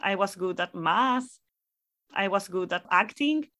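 A Chilean woman in her thirties speaks English with a Spanish accent, her voice bright and clear. She answers interview questions casually and thoughtfully, with small fillers as she recalls her past. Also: mid-range voice, animated, measured pace. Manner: warm, reflective storytelling with fillers. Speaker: guest astrophysicist.

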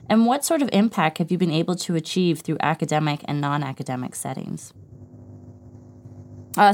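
Low-voiced woman hosting a podcast. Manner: measured, with hesitant fillers. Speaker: podcast host.

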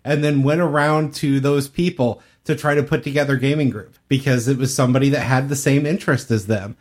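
A man's voice: mid-range voice, fairly even intonation, brisk, a little mellow. A man co-hosting a podcast, telling things in a casual, conversational way. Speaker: podcast co-host.

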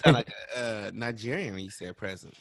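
A man co-hosting a podcast in casual, playful and expressive conversation. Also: on the low side, fairly quick, no room sound. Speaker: podcast co-host.

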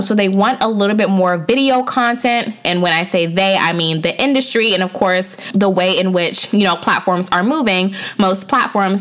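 A young woman hosts her podcast alone, talking straight to her listeners with casual slang and upbeat energy. Her voice sounds a little stuffy and sore from a cold, and she talks at an ordinary middle pitch for a woman. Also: a bit bright. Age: young adult. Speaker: podcast host. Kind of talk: solo podcast talk.

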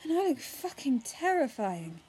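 A woman's voice: high voice, sing-song, a little slow, warm tone, faint music behind, exaggerated voice, rough recording. A woman playing a restless, defiant teenage schoolgirl, telling her story intensely in a first-person audio drama monologue. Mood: urgent, shaken. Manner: intense, urgent, confiding, swearing.

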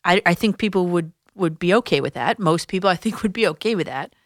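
A woman's voice: on the low side, expressive, brisk, no echo, studio quality. A woman hosting a sports talk radio show, explaining her points conversationally with occasional restarts. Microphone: studio microphone.

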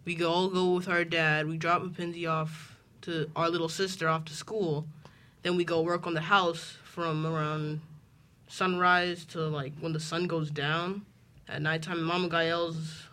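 A twelve-year-old boy answering interview questions casually, haltingly and earnestly rephrasing as he goes. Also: on the high side, little pitch movement, pushed and bright. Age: twelve years old. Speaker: homeschooled student guest.

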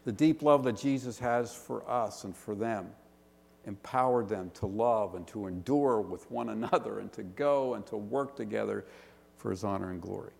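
Male pastor, Western Pennsylvania accent, gravelly, preaching in a reverberant church hall. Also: fairly low pitch, a little mellow. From Pittsburgh area, Western Pennsylvania. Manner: earnest preaching with rhetorical questions and slow emphatic lists. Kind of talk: sermon. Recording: long reverb of a large hall.

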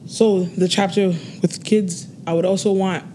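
A woman's voice: medium pitch, steady tone, dark tone, a little hiss or hum. Earnest young woman guest, laughs easily. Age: 20s. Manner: laughs often, slow and earnest when talking goals. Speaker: interview guest. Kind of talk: casual interview chat.

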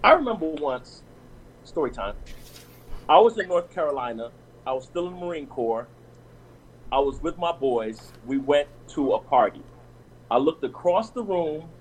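A man's voice: fairly high pitch, animated, moderate pace, long pauses, breathy edge, poor audio. A man on a discussion panel talking casually and telling personal stories, with lots of restarts and "you know what I'm saying.".

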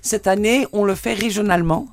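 A woman's voice: medium pitch, a little fast. A woman from the Netherlands with a Dutch accent, explaining steadily with frequent hesitations as a guest in a radio studio interview.

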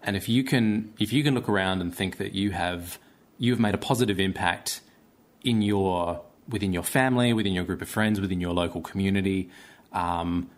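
Low-voiced Australian man, thoughtful talker. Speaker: theatre-maker, interview guest. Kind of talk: casual interview chat.

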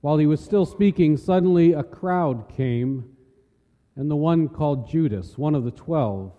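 A man's voice: on the high side, lively intonation, a little slow, mellow. A man narrating scripture from a script as part of a dramatic reading. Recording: echoey hall with long reverb.

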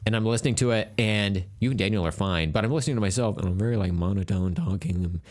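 A man's voice: on the low side, fast, a little mellow, a little hiss or hum. A man co-hosting a podcast in casual chat, rambling and self-deprecating with jokes. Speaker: podcast co-host.

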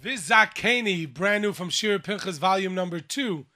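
A man hosting a radio show from a studio, talking excitedly with lots of fillers and restarts. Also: high-pitched, normal speed. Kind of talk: lively radio chat.

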